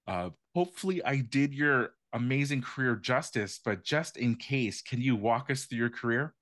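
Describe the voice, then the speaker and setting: A man's voice: mid-range voice, moderate pace, no echo, studio quality. Man reading a formal guest introduction. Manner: reads a formal introduction, then relaxed. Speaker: podcast host.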